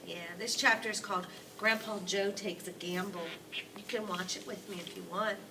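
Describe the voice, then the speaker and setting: A woman reading a children's book aloud in an expressive, chatty storyteller style. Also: medium pitch, normal speed. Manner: prompting with questions. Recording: distant mic, clearly noisy room.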